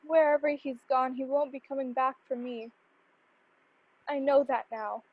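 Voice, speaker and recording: High-voiced, forlorn young servant girl, acted by a woman. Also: steady tone, measured pace, no room sound, phone-quality sound. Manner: plaintive, earnest. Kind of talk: radio play acting.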